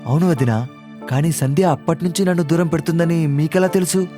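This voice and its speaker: A man playing an earnest, lovesick young brother-in-law in an animated family story, with music behind. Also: a little high, fairly quick, natural voice. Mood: earnest, anxious.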